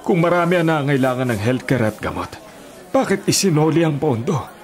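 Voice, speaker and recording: An actor playing a sympathetic, indignant Filipino delivery rider, an older friend, in a plain-spoken radio drama delivery. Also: male voice, fairly high pitch, animated, fairly quick, close to an everyday voice. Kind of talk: Tagalog radio drama acting.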